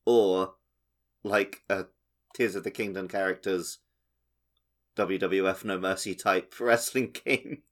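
A man's voice: deep voice, steady tone, takes it slowly, long pauses. A man co-hosting a podcast, casually talking through a video game and often checking for agreement. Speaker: podcast co-host.

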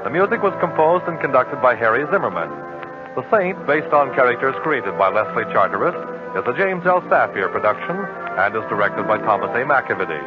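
A man's voice: medium pitch, sing-song, a little fast. An American man working as a radio announcer, reading credits in 1940s broadcast style. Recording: tinny old radio recording over music.

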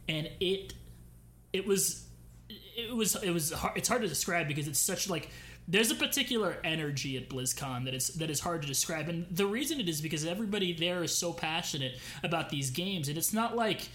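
A young man with a fairly high, bright voice tells a long story in a casual podcast chat. He keeps restarting his sentences and leans on fillers as he goes. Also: lively intonation, brisk, a little hiss or hum. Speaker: podcast co-host.

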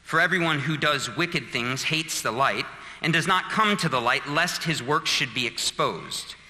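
A man in his thirties preaches with a very bright, forceful voice, rising to earnest, emphatic pleading. His words ring out with a long reverb, as in a large church hall. His pitch sits a little high for a man. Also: unhurried. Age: 30s. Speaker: pastor. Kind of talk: sermon with personal story.

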